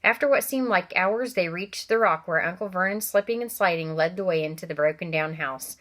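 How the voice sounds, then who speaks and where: A woman, a teacher, reading a novel aloud to children, expressive and stopping for asides to her listeners. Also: fairly low pitch, a little fast.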